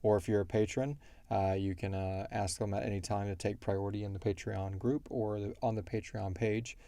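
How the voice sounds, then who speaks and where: A man hosting a casual podcast Q&A, thinking aloud in a halting way. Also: lowish voice, level tone, warm tone, no echo. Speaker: podcast host and show creator. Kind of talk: casual Q&A chat.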